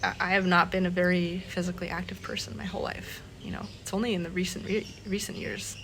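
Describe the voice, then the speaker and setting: A woman talking casually to her vlog audience in a reflective, halting way, often pausing between short phrases. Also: lowish voice, little pitch movement, a bit bright. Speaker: vlogger. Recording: clearly noisy background ambience.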